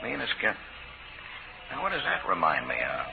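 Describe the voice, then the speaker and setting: An actor playing a cruel, mocking, impatient gangster boss who barks orders in tough street slang, in 1940s radio drama style. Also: male voice, low voice, wildly swooping pitch, normal speed, gravelly, exaggerated voice. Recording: scratchy narrow-band 1940s radio recording.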